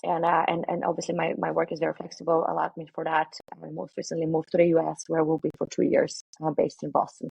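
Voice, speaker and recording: A woman's voice: deep voice, vocal fry, husky, no room sound, rough recording. A woman from Bulgaria in her thirties, a guest talking casually and energetically in an interview, with many fillers. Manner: energetic, filler-heavy, quick bursts. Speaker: guest, sales director.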